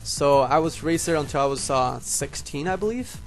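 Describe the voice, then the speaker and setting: A man's voice: a little high, animated, moderate pace, faint music behind. A young man from Puerto Rico whose first language is Spanish, speaking with a Puerto Rican accent and a slight lisp, rambling casually to his viewers as homies. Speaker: YouTuber. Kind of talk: casual vlog monologue.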